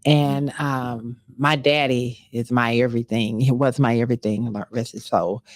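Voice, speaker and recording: A woman's voice: extremely low pitch, fairly even intonation, moderate pace, no echo, clean studio sound. A woman hosting a candid talk show, emphatic and encouraging, often repeating herself for weight. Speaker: show host.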